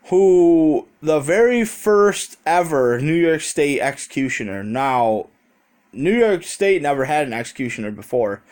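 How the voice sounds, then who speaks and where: A man's voice: a little high, a little mellow. An American man talking in a rambling, conversational way with casual swearing, telling a story as a vlogger into a handheld phone.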